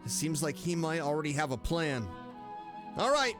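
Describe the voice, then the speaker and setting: Guy streaming a game, slightly high voice, really animated, chatty reactions, music playing behind. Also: moderate pace. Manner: expressive, reacts aloud, talks to the characters. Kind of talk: casual live gameplay commentary.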